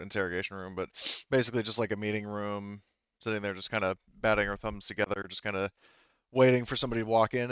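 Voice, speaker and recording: Man, smooth clear voice, casual game master, dry dull lo-fi sound. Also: fairly low pitch, a bit bright, no room sound. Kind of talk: casual tabletop game narration.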